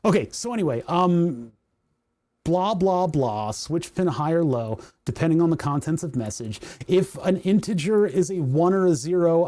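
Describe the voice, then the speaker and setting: Chatty mellow-voiced man, voice going hoarse. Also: a little high. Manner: rambling, chatty, laughs often, reads chat aloud. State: voice starting to go hoarse. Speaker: livestream host. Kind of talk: casual livestream chat while coding.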